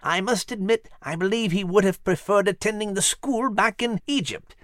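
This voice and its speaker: A man's voice: sits high, moderate pace, a bit bright, dry recording, clean studio sound, pitched above the performer's own voice. A man voicing a jolly, scholarly mouse professor with a British accent and a genteel, fussy, pompous manner in an audio storybook. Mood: genteel, nostalgic. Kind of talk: acted audio storybook dialogue.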